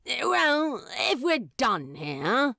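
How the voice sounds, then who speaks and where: A woman's voice: fairly high pitch, very animated, extremely slow, creaky, pushed and bright, no echo, clean studio sound, voice pushed up, exaggerated voice. Woman doing a snarky grumpy demon guy, cocky and loose, swings all over the place. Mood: brash. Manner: brash, dismissive, casual swearing. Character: sardonic grumpy male demon, sly and irreverent.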